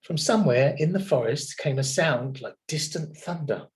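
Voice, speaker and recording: A man narrating a children's storybook in an expressive, dramatic manner. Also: a little high, normal speed. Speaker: children's story reader at a primary school.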